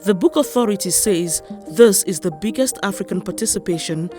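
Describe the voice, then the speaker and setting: A woman's voice: mid-range voice, brisk. A woman reading a scripted news report. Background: music.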